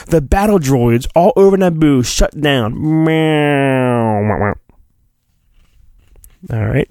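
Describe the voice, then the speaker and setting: A man's voice: on the high side, no room sound, clean studio sound. A man hosting a podcast, reading a storybook in a mock-dramatic, playful way with casual asides.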